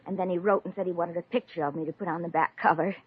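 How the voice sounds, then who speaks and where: Mousy, husky, bashful young woman. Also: lowish voice, steady tone. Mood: bashful, hesitant. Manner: hesitant, coy, halting. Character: mousy, bashful, earnest young amateur novelist. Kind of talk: 1950s radio comedy acting. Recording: dull old-time radio recording.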